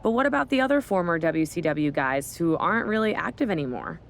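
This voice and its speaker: Skeptical wrestling boss lady, kinda low voice, dry and firm, game cutscene. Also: lively intonation, brisk, a little hiss or hum. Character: skeptical, no-nonsense female wrestling executive. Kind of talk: scripted video game dialogue.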